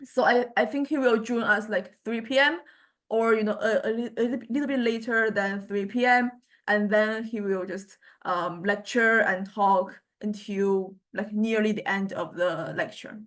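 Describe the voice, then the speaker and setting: A woman lecturing to a university class informally, speaking in halting phrases and often repeating words. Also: mid-range voice, fairly even intonation.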